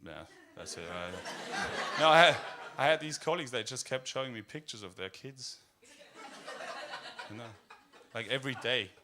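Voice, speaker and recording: A man with an Austrian accent doing a stand-up set in a deadpan, darkly humorous way, full of fillers and hesitations. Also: medium pitch, normal speed. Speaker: stand-up comedian. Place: comedy club stage.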